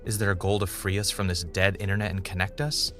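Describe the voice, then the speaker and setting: A man's voice: low-pitched, little pitch movement, fast talker, fairly bright tone. A man playing a pessimistic, suspicious gamer, telling his story as introspective, dramatic first-person narration in a fiction podcast. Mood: uneasy, suspicious. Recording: music under the voice.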